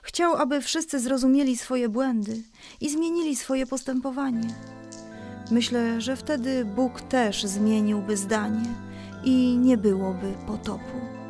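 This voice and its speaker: A woman playing a gentle mother who patiently explains a Bible story in a children's audio drama. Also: on the high side, a little slow. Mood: solemn. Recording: faint music behind the voice.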